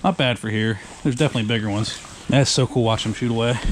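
A man in his thirties filming his ice-fishing trip in a tent on a frozen lake, talking casually and excitedly with plenty of slang and swearing, recorded on a handheld action camera. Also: medium pitch, fast. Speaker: fishing vlogger. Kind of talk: casual outdoor vlog. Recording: noisy outdoor camera audio.

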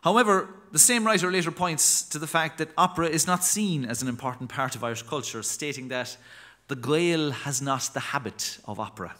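A man in his thirties reading a prepared academic talk at a lectern microphone in a chapel, formal and measured. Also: a little high, pushed and bright. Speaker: lecturer.

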